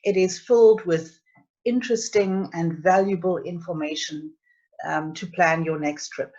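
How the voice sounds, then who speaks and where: Middle-aged South African woman, lowish voice, hosting a webinar, dull call audio. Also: steady tone, unhurried, a little mellow. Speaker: travel agency owner hosting a webinar. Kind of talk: presenting a live travel webinar. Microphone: computer mic on a video call.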